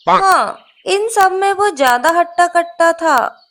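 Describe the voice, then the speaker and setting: A woman voicing a caring, quick-witted little female bird in a cartoon, coaxing and sisterly. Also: very high voice, animated, fairly bright tone, exaggerated voice.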